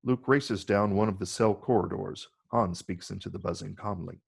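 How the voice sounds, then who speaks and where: A man with a gravelly, husky voice reading scripted screenplay narration. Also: sits low, normal speed. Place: virtual call from separate location.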